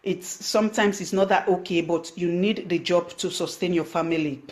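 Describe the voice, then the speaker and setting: A woman's voice: on the low side, fairly even intonation, normal speed, a little mellow. A woman from Sierra Leone with a Sierra Leonean accent, speaking English as a second language, giving business tips on a live stream and stopping to thank viewers by name. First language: Krio. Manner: thanks viewers by name, many fillers and restarts. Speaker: online business coach. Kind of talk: Facebook live stream talk.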